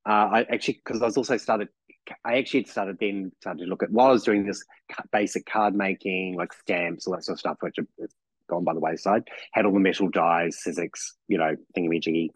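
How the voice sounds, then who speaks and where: A man's voice: deep voice, fairly even intonation, brisk, slightly rough, no echo, low-quality recording. A man in his forties with a New Zealand accent, chatting as a podcast guest and rambling with lots of "you know" and restarts. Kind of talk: casual chat.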